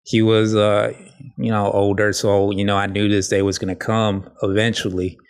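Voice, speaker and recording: A man hosting a casual solo podcast, talking in a halting, rambling, informal way with a slight lisp. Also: lowish voice, flat delivery, clear, resonant tone. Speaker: podcast host.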